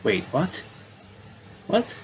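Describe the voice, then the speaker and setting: A man's voice: drawn-out words, a little hiss or hum. A man commentating on a game playthrough, casually reading story text aloud and often stumbling and rereading phrases.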